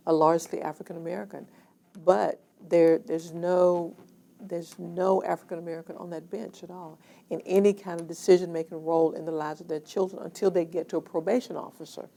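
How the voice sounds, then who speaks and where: A woman's voice: on the low side, gravelly, dark tone. A woman explaining seriously in a documentary interview.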